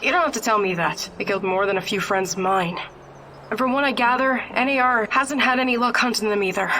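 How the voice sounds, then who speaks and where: A woman's voice: on the high side, a little fast, sharp tone, slight background noise, rough recording. An actress playing a tough, no-nonsense female fighter in a video game, direct and plain-spoken. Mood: confident, tough. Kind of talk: video game character acting.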